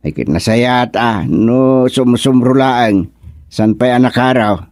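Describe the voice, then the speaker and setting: An actor playing an easygoing older village husband in a comic radio serial, drawling and chuckling with lots of grunts. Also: male voice, mid-range voice, slow, darkish tone, no room sound, studio quality, natural voice. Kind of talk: comic radio drama acting.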